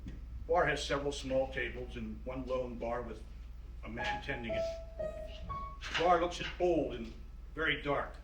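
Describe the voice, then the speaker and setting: A man's voice: mid-range voice, moderate pace, pauses often, music in the background. A man reading scripted narration and stage directions for a play. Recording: roomy, distant mic, audible noise.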